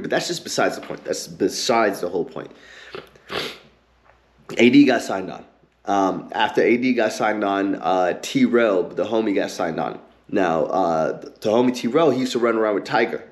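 A man hosting his own podcast, ranting heatedly and cursing a lot, with an occasional stutter and pauses filled with um and like. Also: on the low side. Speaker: podcast host. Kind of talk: ranting commentary.

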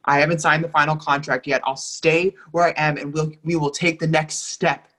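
A lovestruck young partner in a stage drama, read by an actor, with a mid-pitched voice. The delivery is emphatic and imploring, hurt and insistent, as in a script-in-hand play reading. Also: flat delivery, moderate pace, sharp tone. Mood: heartbroken. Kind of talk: readers theatre dialogue reading.

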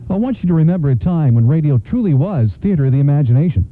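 A man's voice: fairly high pitch, animated, fast. A man hosting a radio drama program, speaking in the style of an evening show introduction. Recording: narrow-band phone-like radio sound.

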